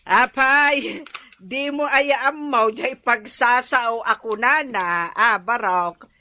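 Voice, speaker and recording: A woman's voice: on the high side, slow, pushed and bright, dry recording. A woman playing a mocking older female shopkeeper in a comedy radio drama, teasing and preachy. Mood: admonishing.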